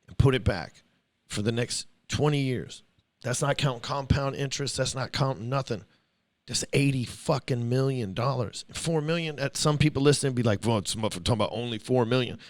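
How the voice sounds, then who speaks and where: A man in his forties hosting a podcast, talking bluntly and swearing freely as he lays out his views in casual chat. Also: mid-range voice. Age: 40s. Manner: blunt, profane, lecturing. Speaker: podcast host.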